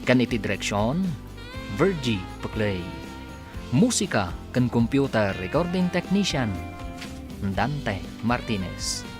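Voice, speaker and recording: A man's voice: medium pitch, very animated, slow pace, long pauses. A man with a Filipino accent, narrating and announcing a scripted radio drama. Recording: music under the voice. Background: music.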